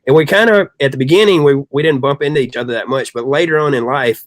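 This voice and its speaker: A man's voice: medium pitch, fast, no room sound. Chatty middle-aged man, dry close sound. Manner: chatty, long-winded. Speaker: rock singer, guest. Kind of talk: casual anecdotal storytelling.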